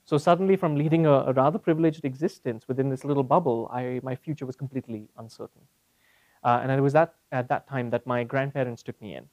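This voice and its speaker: A man's voice: medium pitch, steady tone, quick pace, a little mellow, dry recording, lo-fi sound. A man giving a conversational, articulate lecture as a guest academic speaker. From India (Bombay). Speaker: guest speaker, university psychology professor. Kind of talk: conference lecture with personal storytelling. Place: university classroom, speaking to a small seated audience.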